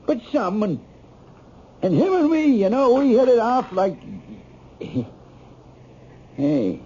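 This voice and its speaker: An actor playing a genial, hungry shooting range owner with halting, chuckling, streetwise talk in a radio drama. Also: male voice, extremely high pitch, sing-song, dark tone, slight background noise, full character voice. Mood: genial, chummy. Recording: dull lo-fi old radio recording.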